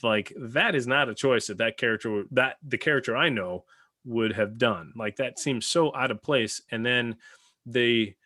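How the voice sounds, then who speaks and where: A man's voice: mid-range voice, moderate pace, pushed and bright, no echo, clean studio sound. A man speaking earnestly and with opinion in a casual panel discussion, as a podcast panelist.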